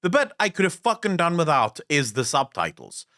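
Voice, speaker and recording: Man, Afrikaans first language, blunt profane rants, lively swinging pitch, podcast co-host. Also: brisk. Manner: blunt, profane, opinionated ranting. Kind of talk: casual gaming podcast chat.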